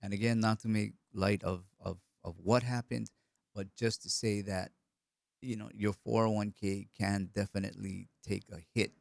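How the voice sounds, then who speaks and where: A man's voice: on the low side, steady tone, moderate pace, vocal fry, no echo. A middle-aged man from Hawaii with a cold, hosting a live stream, chatting loosely and answering viewer comments while he repeats his words. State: hoarse with a cold.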